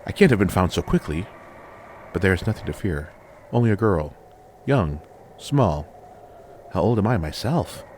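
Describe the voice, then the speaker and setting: A man reading a scripted first-person fantasy story as narrator, in measured, dramatic, deliberate phrases. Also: low voice, expressive, a little mellow, slight background noise. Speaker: audiobook narrator.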